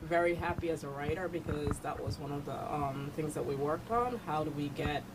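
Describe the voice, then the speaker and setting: A woman's voice: deep voice, moderate pace. A woman speaking as a guest in a casual radio interview. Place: radio studio. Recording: clearly noisy radio studio.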